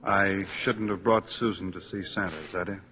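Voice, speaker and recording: A man's voice: sits low, fairly even intonation, brisk, close to an everyday voice. An actor playing an easygoing, considerate man, mild and conciliatory, in 1940s radio style. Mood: mild, apologetic. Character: easygoing, considerate male neighbour. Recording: dull 1940s radio recording.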